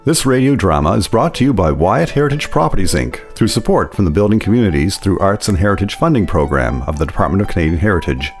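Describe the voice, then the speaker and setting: A man's voice: deep voice, expressive, fast talker, few pauses, faint music behind. A man narrating a scripted radio drama as storyteller.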